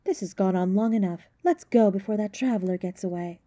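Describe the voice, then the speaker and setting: A woman playing a proud, stern young elf soldier with a husky, dark voice and a formal, commanding manner in an audio roleplay. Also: mid-range voice, a little fast, heavy background noise, close to an everyday voice. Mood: stern, indignant.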